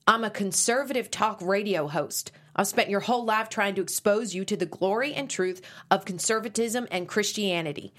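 An actress reading a pushy conservative talk radio host mother at a script table read, brisk and scolding. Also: mid-range voice, fairly bright tone, lightly acted. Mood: prim.

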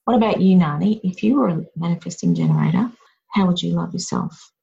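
A woman's voice: lowish voice, wildly swooping pitch, fairly quick, creaky, dark tone, breathy edge, highs cut off. A woman hosting a casual chat, talking in a reflective, encouraging way.

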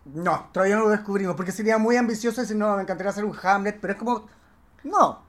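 A man with a Chilean accent chatting casually as a guest, slangy and candid, often halting as he reflects. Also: high voice, a bit bright. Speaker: actor, podcast guest.

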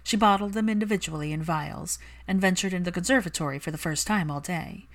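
A woman's voice: lowish voice, fast. A woman reading fan fiction aloud as a scripted story narration.

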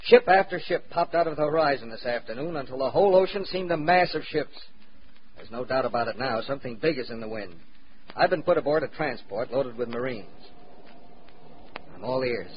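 An actor playing an eager American war correspondent, narrating in a brisk, dramatic 1940s radio style on an old recording. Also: male voice, medium pitch, expressive, dull audio, a little hiss or hum, lightly acted. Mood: excited, expectant.

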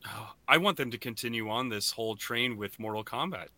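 A man co-hosting a podcast in casual, animated chat, excitable and quick to exclaim. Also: mid-range voice, dry recording. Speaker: podcast co-host.

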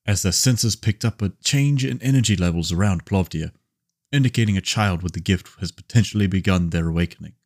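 Deep guy narrating a space audio drama, scripted and dramatic, quiet music under it.

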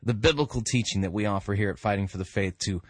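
A man hosting a radio show, giving informal commentary with emphatic, drawn-out delivery. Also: lowish voice, dry recording.

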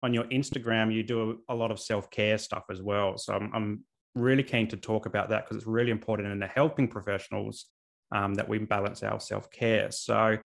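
A man's voice: lowish voice, level tone, a little fast, creaky. A man hosting a podcast who asks friendly questions, often restarting and repeating his words. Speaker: podcast host. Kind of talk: interview questions.

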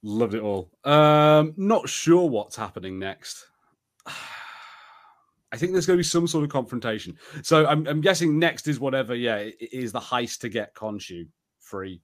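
Halting male podcast co-host, thinking aloud. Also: mid-range voice, expressive. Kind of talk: casual review chat.

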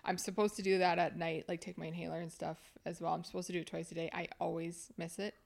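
A woman's voice: on the low side, flat delivery, quick pace, creaky. A woman in her twenties co-hosting a podcast, chatting casually and laughing often. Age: 20s. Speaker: podcast co-host. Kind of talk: casual chat.

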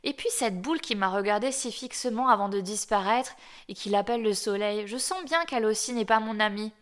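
A woman's voice: medium pitch, a little fast, lightly acted. A woman voicing a naive, newly built male snowman who asks innocent questions. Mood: uneasy.

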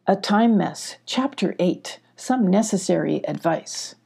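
A woman's voice: fairly low pitch, animated, slow pace, gravelly, mellow, husky. A woman narrating a children's story from a script.

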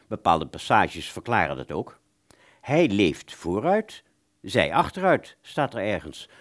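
Polite, bookish, low-voiced male bookseller played by an actor. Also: huge pitch swings, slow pace, exaggerated voice. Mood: earnestly explaining. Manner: courteous, explanatory, slightly pompous, hesitant. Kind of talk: radio play dialogue.

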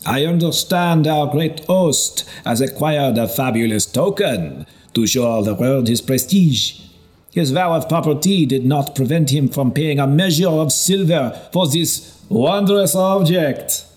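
A man's voice: on the high side, lively intonation, unhurried, darkish tone, pitched above the performer's own voice, rough recording. A man reading an audiobook, voicing a teasing king who gives a mock-solemn, declamatory banquet toast. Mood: mocking, grand. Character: teasing royal host making a mocking banquet toast.

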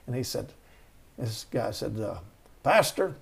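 A man's voice: on the low side, very animated, a little slow, creaky, slightly rough. A middle-aged man, telling rambling stories as an interview guest, with many restarts. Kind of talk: storytelling conversation.

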